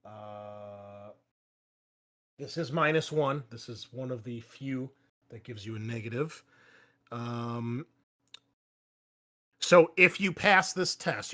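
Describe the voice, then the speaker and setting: A man's voice: medium pitch, sharp tone. Casual man running a tabletop game. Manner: casual, profane. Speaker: game master. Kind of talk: running a tabletop roleplaying game, explaining rules and narrating.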